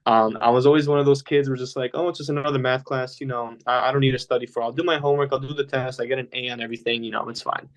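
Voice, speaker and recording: A man's voice: mid-range voice, fairly even intonation, racing, few pauses. Rambling young man with Polish roots. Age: young adult. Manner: rambling, lots of fillers like "you know". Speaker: podcast guest. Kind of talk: casual interview talk.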